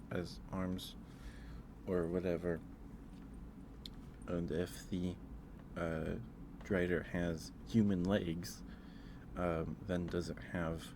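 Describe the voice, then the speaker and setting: Male ASMR streamer, deep-voiced and slow, rambling informally, with clearly audible ambient noise behind him. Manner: hesitant, rambling, repeats phrases. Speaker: livestream host. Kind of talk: casual ASMR livestream chat.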